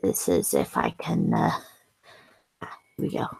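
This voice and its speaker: A woman streamer chatting casually with supporters, hoarse from losing her voice, talking haltingly with whispered asides. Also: extremely low pitch, lively intonation, darkish tone. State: hoarse, has lost her voice. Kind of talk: casual chat.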